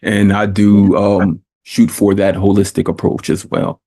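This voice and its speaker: A man hosting a podcast, talking in a relaxed, hesitant way with fillers and chuckles. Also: fairly low pitch, measured pace. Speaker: podcast host. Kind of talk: casual interview chat.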